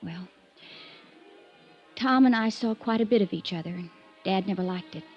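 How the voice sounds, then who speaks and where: A woman's voice: mid-range voice, moderate pace, faint music behind, lightly acted. An actress playing a troubled, lovelorn young woman from a wealthy family in a 1950s radio drama, confiding wistfully and hesitantly. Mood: guarded, bitter. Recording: muffled vintage radio recording.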